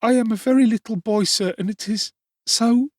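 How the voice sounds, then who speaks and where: A man's voice: sits high, normal speed, darkish tone, no echo, clean studio sound, pitched above the performer's own voice. A man voicing a frightened small workhouse boy who sobs and pleads. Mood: sobbing, pleading. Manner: sobbing, pleading.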